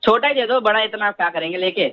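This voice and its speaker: A man's voice: sits high, quick pace. A man in a casual phone conversation, insistent and complaining in an exasperated way. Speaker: customer, prank call recipient. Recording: dull lo-fi phone line.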